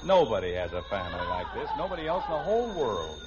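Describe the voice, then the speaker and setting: Flustered 1950s radio sitcom dad, swooping voice. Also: male voice, on the low side, very animated, fast talker. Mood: exasperated. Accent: American. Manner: blustering, flustered. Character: exasperated middle-aged suburban father, easily flustered, blustering. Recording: dull, noisy old radio recording.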